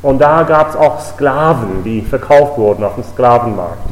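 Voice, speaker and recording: A man's voice: mid-range voice, animated, a little slow. A man from the United States preaching an earnest, emphatic sermon in a church, with repeated declarations. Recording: echoey hall, voice a bit distant.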